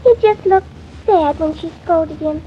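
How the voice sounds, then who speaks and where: A very high, childlike little girl in a 1950s radio play. She is earnest and devoted, speaking through a muffled, noisy old recording. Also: measured pace. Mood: sleepy, artless. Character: frightened, loyal little girl, daughter of the house. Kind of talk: 1950s radio drama acting.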